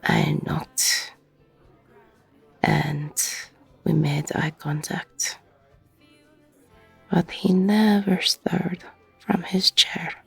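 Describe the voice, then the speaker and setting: A woman playing a weary, gruff, middle-aged ex-con bartender, husky and breathy, blunt and self-deprecating, in an intimate audio roleplay. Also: fairly low pitch, drawn-out words, long pauses, faint music behind, heavily acted. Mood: regretful, subdued.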